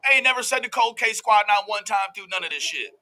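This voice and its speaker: A man's voice: high-pitched, lively intonation, fast talker, bright, forceful tone. A man giving casual, profane reaction commentary as host of a true crime show, in a brash, folksy, slangy way.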